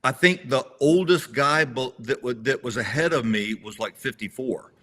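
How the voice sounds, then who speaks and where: A man in his sixties with a bright, forceful voice talks through a casual interview. He rambles and restarts his sentences, correcting himself as he goes. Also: mid-range voice, moderate pace. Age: 60s. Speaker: interview guest, competitor.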